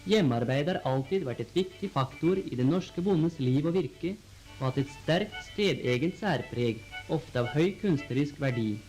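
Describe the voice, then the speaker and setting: A man reading scripted 1940s newsreel narration in a formal, measured announcer style on an old film soundtrack. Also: fairly high pitch, expressive, darkish tone, soft background music, rough recording. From Norway.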